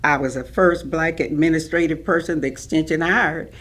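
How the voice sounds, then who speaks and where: A woman actor playing a proud, firm administrator recalling her career in a staged reading, measured and matter-of-fact. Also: sits low, a bit bright. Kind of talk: staged reading of oral history.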